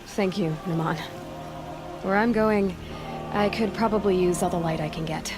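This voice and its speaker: Young huntress heroine female actor, video game. Mid-pitched, matter-of-fact, dry humour, heavy music underneath. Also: moderate pace, close to an everyday voice. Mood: direct, wry.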